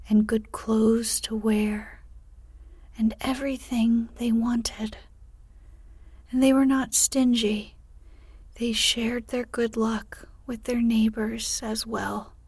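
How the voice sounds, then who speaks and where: A woman reading a fairy tale aloud in a soft, unvoiced whisper close to the mic. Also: on the high side, breathy edge, no echo. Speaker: storyteller.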